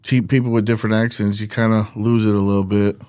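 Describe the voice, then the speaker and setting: Smooth-voiced male podcast host from Florida. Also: on the low side, flat delivery, fast, darkish tone, dry recording. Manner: friendly, short backchannels, "man" endings. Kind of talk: casual interview chat.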